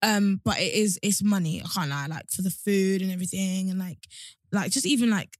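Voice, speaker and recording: A woman in her twenties with a hoarse throat, co-hosting a studio podcast, blunt and slangy with a lot of swearing. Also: medium pitch, fairly quick, no echo. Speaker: podcast co-host. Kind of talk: casual chat. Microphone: studio mic.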